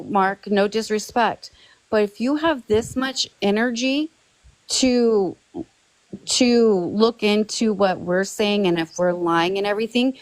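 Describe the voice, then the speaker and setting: A woman's voice: medium pitch, no room sound, rough recording. A woman on a live-stream discussion, making firm, pointed arguments and giving instructions.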